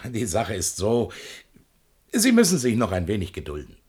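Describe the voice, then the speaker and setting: A lowish, husky male voice with a very lively, swooping melody. a talkative paint shopkeeper in a radio play, evasive and patronizing, always placating his customer. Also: normal speed, exaggerated voice. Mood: apologetic, defensive. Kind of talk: German radio play acting.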